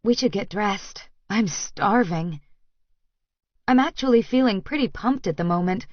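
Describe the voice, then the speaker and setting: A woman's voice: mid-range voice, expressive, unhurried, no room sound, muffled recording. A woman reading first-person romance fiction as an audiobook narrator, in a scripted storytelling style.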